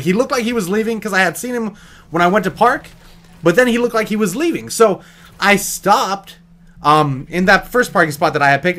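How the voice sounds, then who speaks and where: High-pitched American guy, rambling and joking. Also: sharp tone. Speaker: YouTube vlogger telling a story. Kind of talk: casual storytime chat.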